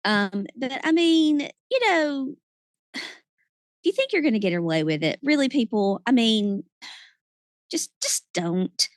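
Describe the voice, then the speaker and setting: A woman's voice: on the high side, sing-song, slow pace, long pauses, dry recording, studio quality. Emphatic, playful woman in her forties. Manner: emphatic, repeats herself, playful. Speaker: podcast co-host. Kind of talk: casual chat.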